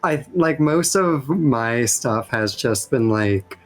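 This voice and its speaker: A man chatting casually and haltingly as an amateur voice actor on a panel, rambling a little. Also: mid-range voice, expressive, soft background music. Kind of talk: casual chat.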